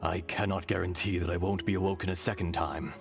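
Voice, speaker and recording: An ancient weary dragon, half-whispered. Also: male voice, deep voice, fairly even intonation. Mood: solemn. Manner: slow, solemn, half-whispered. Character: ancient weary male dragon god in a borrowed body. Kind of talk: video game voice acting. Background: game music.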